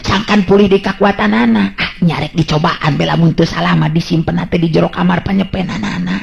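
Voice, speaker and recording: A man's voice: sits high, steady tone, a little fast, vocal fry, husky, voice pushed up, heavily acted. A man voicing a kindly, anxious older village woman in a Sundanese radio story, muttering hesitantly with repeated words. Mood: worried, pondering. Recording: dull lo-fi radio-style recording.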